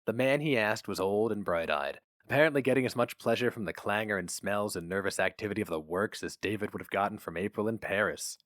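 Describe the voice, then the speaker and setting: A man's voice: lowish voice, fast, hardly any pauses, a bit bright, dry recording, clean studio sound. A man reading a short story aloud as a dramatic scripted narration.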